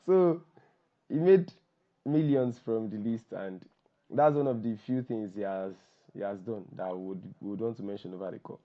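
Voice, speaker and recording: A Nigerian man with a voice hoarse from shouting, hosting an informal group call in a joking, self-deprecating, repetitive way. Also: on the low side, normal speed. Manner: joking, self-deprecating, repeats himself for emphasis. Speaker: workshop host and copywriter. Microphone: online call microphone. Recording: dull, lo-fi group call audio.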